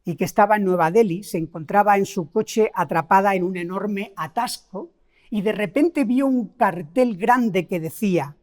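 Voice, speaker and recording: A woman's voice: medium pitch, moderate pace, darkish tone. A middle-aged woman from Spain with a Spain Spanish accent, preaching a sermon, asking the congregation rhetorical questions and stressing key words.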